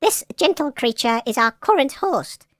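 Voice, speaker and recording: A woman's voice: high voice, lively intonation, moderate pace, no echo, studio quality. A woman voicing a fussy, officious colony of parasitic mushroom creatures in a comedy audio show. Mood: solemn. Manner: prim, officious, squealing.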